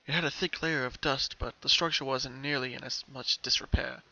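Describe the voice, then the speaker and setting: A man gives a scripted dramatic reading as a story narrator. His voice sits at a middle pitch with a bright, forward tone. The sound is a little dull, like a home recording. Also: fairly even intonation, fairly quick, gravelly.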